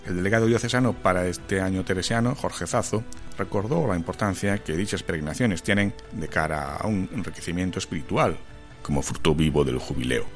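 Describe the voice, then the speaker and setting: A man reading a formal news voice-over in a measured, steady way. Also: low voice, faint music behind. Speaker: news narrator. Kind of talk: scripted voice-over narration.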